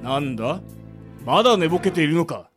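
Man acting a stern, animated prison guard. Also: a little high, brisk, faint music behind, natural voice. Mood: gruff, commanding. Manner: scolding, commanding, old-fashioned bossy speech. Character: stern, gruff middle-aged male prison guard. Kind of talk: anime-style amateur radio drama acting.

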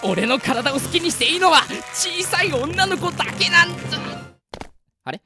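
An actor voicing an excitable teenage schoolboy with shameless creepy tastes, over-reacting dramatically in a comedy radio drama. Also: extremely high pitch, normal speed, exaggerated voice. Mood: panicked. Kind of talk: anime-style comedy radio drama acting. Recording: loud music bed under the voice. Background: music.

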